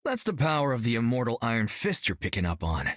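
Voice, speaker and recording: A man's voice: mid-range voice, sing-song, fast talker, fairly bright tone, no echo, clean studio sound. A young actor playing a brash, cocky male martial artist in a video game, joking and upbeat. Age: young adult.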